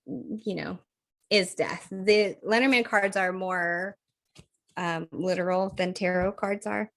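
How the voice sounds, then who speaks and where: A woman co-hosting a podcast in casual chat, thinking aloud with fillers and frequent laughs, her voice a little croaky. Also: on the low side, slow pace, a bit bright. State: slightly croaky voice. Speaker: podcast co-host.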